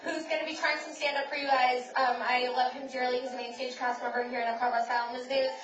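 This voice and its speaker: A woman hosting a live comedy show, loudly and enthusiastically introducing the next act. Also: high voice, flat delivery, quick pace, highs cut off. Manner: enthusiastic, loud. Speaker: show host introducing acts. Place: improv theater stage. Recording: roomy live stage audio from a distance.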